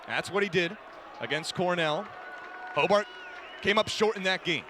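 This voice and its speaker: A man giving an energetic, descriptive live radio play-by-play commentary of a lacrosse game. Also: high-pitched, moderate pace. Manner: energetic, descriptive running call of the action. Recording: noisy live broadcast, game crowd behind. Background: crowd and ambient game noise.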